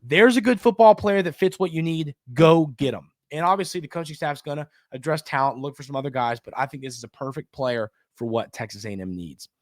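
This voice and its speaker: A man's voice: on the high side, fast talker, few pauses, dry recording. Emphatic male sports podcast host, dry sound. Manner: emphatic, repeats phrases for stress. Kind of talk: conversational sports commentary.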